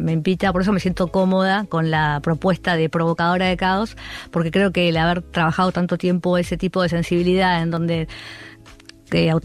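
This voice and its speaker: An Argentine woman with a Rioplatense accent talks in a low voice, pausing often, restarting her sentences and leaning on fillers. A faint music bed sits under her. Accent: Rioplatense Spanish. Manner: hesitant, many fillers and restarts. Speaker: art curator guest. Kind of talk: casual interview conversation.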